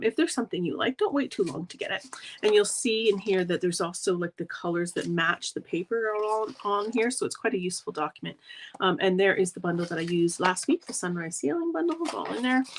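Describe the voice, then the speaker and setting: A woman's voice: medium pitch, slight background noise, poor audio. A middle-aged woman, nasal from a cold, hosting a live card-making demo at her craft table in a friendly, rambling way. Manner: friendly, rambling, gushes over paper. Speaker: stamping demonstrator hosting a live craft video.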